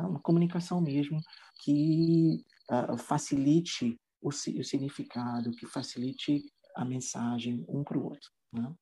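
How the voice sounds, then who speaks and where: Male teacher, mellow husky voice, halting conversational delivery. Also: on the high side. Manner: hesitant, many fillers and restarts. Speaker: English teacher. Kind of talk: casual interview talk.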